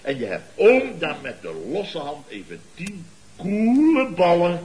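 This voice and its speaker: An actor playing a blustering, boastful contractor boss in a Dutch radio comedy, with a pompous, theatrical, lecturing manner. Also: male voice, high-pitched, sing-song, measured pace, crowd noise. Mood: smug, boastful. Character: blustering, boastful contractor boss and uncle, scheming wheeler-dealer.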